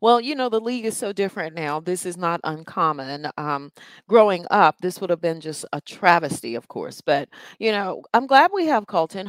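A woman's voice: fairly low pitch, no echo. Stuffy-nosed woman guest from North Carolina. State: stuffy from allergies. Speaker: guest, traffic reporter. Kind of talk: casual interview chat.

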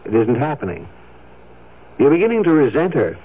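An actor playing a firm, insistent psychiatrist with a blunt, measured clinical manner in a 1970s radio drama. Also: male voice, mid-range voice, wildly swooping pitch, slightly rough. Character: firm, blunt male psychiatrist pressing his patient. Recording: dull, muffled vintage radio recording.

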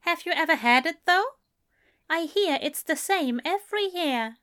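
A woman puts on a prim, fussy gentleman whose voice rises high and lilting. He speaks with a polite, precise, faintly indignant primness and light, lively phrasing. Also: measured pace, heavily acted. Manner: prim, lilting, higher and brighter.

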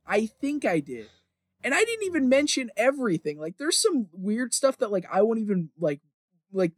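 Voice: mid-range voice, dry recording, clean studio sound. A podcast host chatting casually in an opinionated ramble, emphatic and sweary, often repeating phrases for emphasis.

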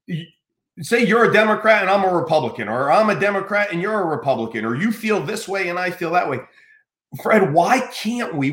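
A man's voice: high-pitched, a bit bright, echoey room. A middle-aged American man hosting a podcast, talking earnestly in a casual interview with many restarts. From United States. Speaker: podcast host.

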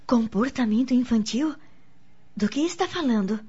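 A nervous wife in a radio soap. She is high-voiced and breathy, easily startled, heard on a dull recording. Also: lively intonation, moderate pace, mellow. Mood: hesitant, questioning. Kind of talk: radio soap opera acting.

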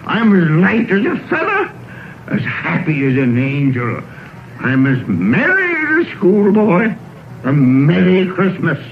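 An older actor playing an excitable old miser in a vintage radio drama, theatrical and stammering. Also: male voice, high-pitched, takes it slowly, slight background noise, full character voice. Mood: overjoyed. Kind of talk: 1930s-40s radio drama acting. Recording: old radio broadcast, narrow band, music.

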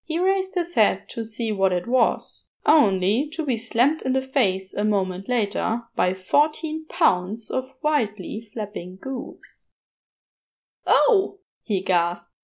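A woman narrating a scripted story in a measured, expressive storytelling manner. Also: fairly high pitch. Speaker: podfic narrator.

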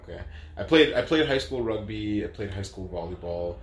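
A man's voice: fairly low pitch, lively intonation, brisk, reverberant, slight background noise. A man in his late thirties telling his story as an interview guest, casual and rambling, with lots of fillers. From Canada. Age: 30s.

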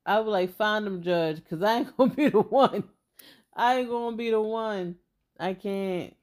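A woman's voice: medium pitch, moderate pace, a little mellow. Blunt, joking woman co-host. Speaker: podcast co-host. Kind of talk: casual podcast banter.

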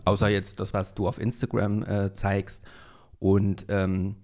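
Man, podcast host, lowish voice, casual chat, hesitant fillers, self-corrections. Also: completely flat delivery, measured pace, a little mellow. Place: room in a Berlin flat.

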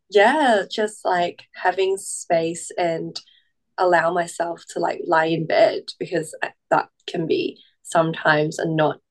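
A young woman whose first language is Cantonese, chatting gently as a podcast guest and pausing often with "um". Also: low-pitched, poor audio. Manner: gentle, unhurried, many "um" pauses. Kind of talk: casual podcast conversation.

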